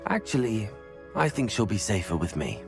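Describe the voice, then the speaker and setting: A man's voice: on the low side, very animated, moderate pace, vocal fry, faint music behind. A man playing a brave, polite teenage wizard hero in a video game, with an earnest manner. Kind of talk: video game character dialogue.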